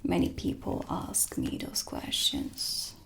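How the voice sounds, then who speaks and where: A woman playing a mysterious, haughty dark witch who reads tarot, speaking in a deliberate, theatrical whisper for a cinematic ASMR roleplay. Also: a little hiss or hum. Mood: stern, guiding. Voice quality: whispery, creaky. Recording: music under the whispered voice. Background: dark ambient music.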